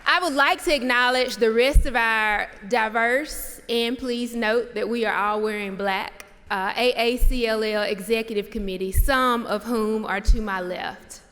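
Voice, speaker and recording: A woman's voice: on the high side, fairly even intonation, measured pace, few pauses, fairly bright tone, a faint crowd. A young adult woman, a church lay leader, opening a formal address with welcoming introductions. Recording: long reverb in a large hall.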